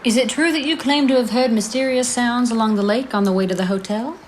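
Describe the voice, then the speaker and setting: An actress playing a businesslike interrogator in an audio play, asking direct, formal questions. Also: female voice, fairly high pitch, a little fast, a little hiss or hum, lightly acted. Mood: probing. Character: probing female interrogator, businesslike. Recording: echoey room.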